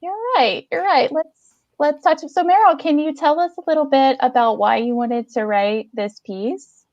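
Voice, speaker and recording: A woman's voice: high-pitched, normal speed, dry recording, highs cut off. A woman hosting a casual live podcast chat, speaking in an enthusiastic, complimentary way. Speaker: podcast host.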